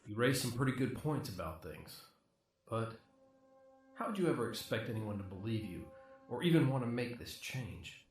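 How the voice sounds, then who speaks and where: A man's voice: mid-range voice, animated, moderate pace, natural voice. Man as a polite interviewer, roomy sound. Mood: curious, guarded. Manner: polite, hesitant. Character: polite, probing male interviewer visiting a killer. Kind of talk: amateur radio play acting. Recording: roomy, distant-mic sound under loud music.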